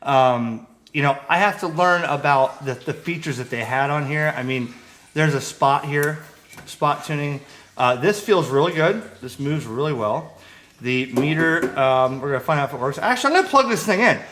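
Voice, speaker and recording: A man hosting a casual hobby radio vlog, chatting to camera in an excitable way and often repeating himself. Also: fairly high pitch, normal speed, slight background noise. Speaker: ham radio vlogger, host.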